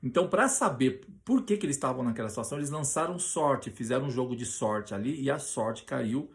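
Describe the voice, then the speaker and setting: A Brazilian man preaching on a Bible passage, often restarting his sentences and slowing down to read scripture aloud with emphasis. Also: medium pitch, lively intonation, vocal fry. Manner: hesitant with many restarts, reads scripture slowly and loudly.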